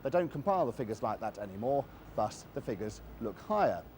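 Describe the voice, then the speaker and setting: A man's voice: mid-range voice, very animated, a little fast, a little mellow, slight background noise. A man narrating a scripted television news report.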